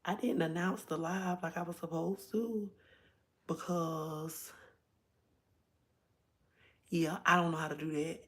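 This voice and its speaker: A hoarse woman hosting a casual livestream from home, chatting directly to her viewers. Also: low-pitched, fairly even intonation, slow, long pauses. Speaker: livestream host.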